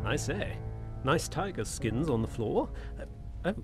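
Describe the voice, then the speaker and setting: Breezy young lord. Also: male voice, medium pitch, sing-song, unhurried, over music. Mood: curious. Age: young adult. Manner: polite, breezy, incredulous. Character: breezy, sceptical young English lord and suitor. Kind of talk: suspense audio drama acting.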